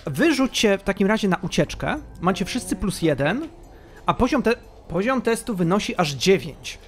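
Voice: mid-range voice, very animated, normal speed, faint music behind. A game master narrating a tabletop roleplaying game and adding vocal sound effects.

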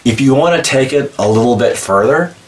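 A man's voice: mid-range voice, lively intonation, brisk, a little hiss or hum. A man in his forties talking casually and enthusiastically about horror cosplay props in a cluttered room, filmed from a distance. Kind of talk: casual show-and-tell vlog. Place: indoor room packed with horror collectibles.

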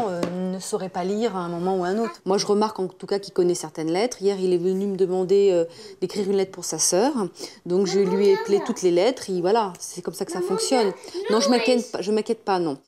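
A woman's voice: mid-range voice, a little mellow. Middle-aged mother talking loosely at home. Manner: loose and hesitant, with many fillers and restarts. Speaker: homeschooling mother. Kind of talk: casual interview talk. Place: home interior, living room.

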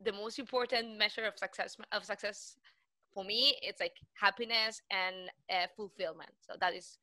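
A woman's voice: mid-range voice, fairly even intonation, moderate pace, bright, forceful tone, dry recording. A woman in her thirties from Spain, co-hosting an online panel. She talks with enthusiasm, laughs easily and often restarts her sentences. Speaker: co-host and postdoc. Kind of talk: casual online panel chat. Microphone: video call.

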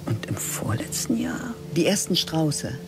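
A man narrating a radio drama in a hushed, often half-whispered, dry manner. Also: fairly high pitch, sing-song, unhurried, music in the background.

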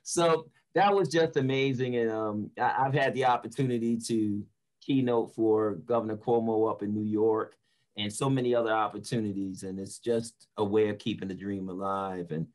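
A man's voice: lowish voice, darkish tone. A middle-aged man, a motivational speaker, telling stories conversationally over a video call from a room at home. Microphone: computer microphone on a video call.

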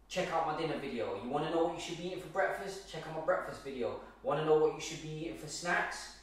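Emphatic man in a very echoey kitchen. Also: on the high side. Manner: emphatic, repeats points, keeps asking okay. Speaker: fitness coach. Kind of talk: direct-to-camera fitness tips. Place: large bare home kitchen. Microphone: far camera, no visible mic. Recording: very echoey room, from far off.

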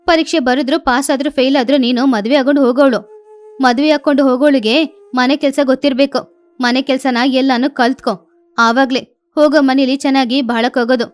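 A woman's voice: sits high, steady tone, brisk, natural voice. A woman voicing a cartoon mother sparrow who is bossy and talks in a nagging, scolding way.

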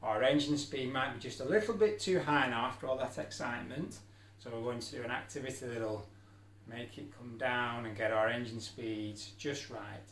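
A man's voice: mid-range voice, normal speed, a bit bright. A middle-aged man teacher leading a children's exercise video, giving simple, encouraging step-by-step instructions. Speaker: special-needs school teacher leading an activity. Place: indoor room at a wooden table. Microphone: camera a few feet away. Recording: echoey room, music in the background.

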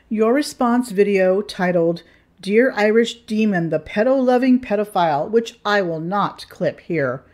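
A woman hosting her channel, reading scripted commentary in an indignant, measured way. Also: medium pitch. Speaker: channel host.

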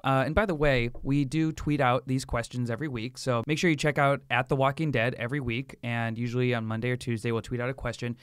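Brief: male podcast host, smooth clear voice, upbeat, close dry mic. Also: medium pitch, steady tone, fast talker, hardly any pauses, no echo. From South Carolina. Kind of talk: casual podcast chat.

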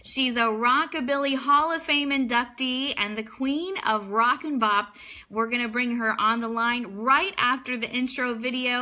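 A woman's voice: on the high side, bright, forceful tone. A woman hosting an online radio show, introducing and interviewing a guest in an announcing style. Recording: live internet stream.

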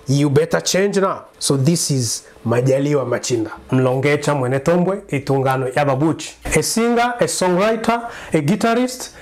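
A man's voice: sits high, darkish tone. A man in his thirties from Congo whose first language is Bembe, speaking earnestly to camera in a small home study on a lapel mic. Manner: earnest, insistent, gesturing with his hands. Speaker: preacher and singer presenting himself. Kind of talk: direct-to-camera monologue.

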